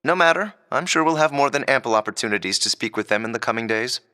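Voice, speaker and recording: Earnest young man, formal, assured, fast, bright crisp voice, video game dub, reverberant. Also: medium pitch, lively intonation.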